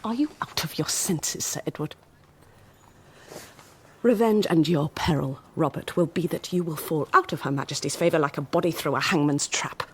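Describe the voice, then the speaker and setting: An actress playing an anxious, cautioning Elizabethan noblewoman, earnest and breathy, with formal period speech in a radio drama. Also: low voice, normal speed. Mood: urgent, cautioning.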